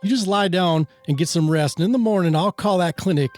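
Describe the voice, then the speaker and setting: A man playing a gruff, impatient small-town sheriff in an amateur radio play, hard-boiled, sarcastic and threatening. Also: high-pitched, brisk, darkish tone, faint music behind, natural voice. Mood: stern, irritated.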